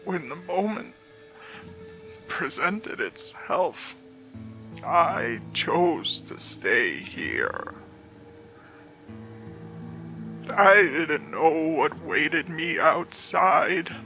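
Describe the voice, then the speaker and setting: A woman playing a gravelly, weary damned woman. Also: deep voice, very animated, bright, forceful tone, faint music behind, pitched below the performer's own voice, heavily acted. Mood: sad, ashamed. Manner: slow, halting, dramatic, with drawn-out pauses. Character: weary, cowardly damned woman resigned to a hellish afterlife. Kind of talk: horror story character acting.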